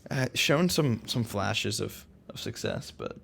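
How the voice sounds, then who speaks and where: A man casually commentating a game recap, relaxed and full of ums and restarts. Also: fairly low pitch, slow, creaky, husky. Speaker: co-host of a league recap.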